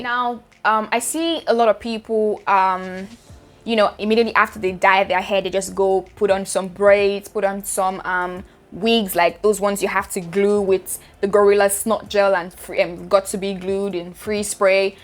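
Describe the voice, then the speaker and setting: Chatty Nigerian woman giving hair tips. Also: medium pitch. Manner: chatty, direct, addresses viewers as sis and guys. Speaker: hair care vlogger. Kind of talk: casual advice vlog.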